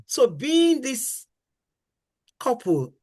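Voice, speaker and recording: A middle-aged woman with a fairly high voice teaches about marriage on a faith-based talk show. She is earnest and emphatic, circling back to her main points. She acts out the complaints of husbands and wives as she goes. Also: big pitch swings, drawn-out words, a little mellow. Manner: earnest and emphatic, repeating key phrases and acting out spouses' complaints.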